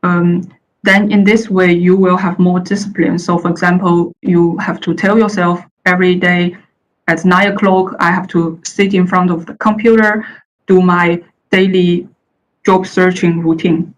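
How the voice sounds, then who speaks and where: A woman's voice: on the low side, little pitch movement, measured pace, slight background noise, low-quality recording. Woman, native Chinese speaker, hesitant talk. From China. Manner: hesitant, many uh fillers and restarts. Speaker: panel speaker. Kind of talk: presentation talk. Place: the Netherlands.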